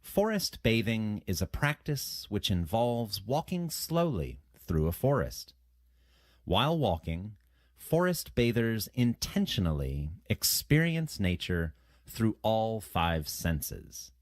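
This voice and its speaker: A man with a lowish voice reads scripted passages at a very slow pace. His delivery is careful and measured, with plenty of rise and fall in the pitch. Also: sing-song, no room sound, clean studio sound. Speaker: narrator of test practice passages. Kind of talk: scripted read-aloud narration.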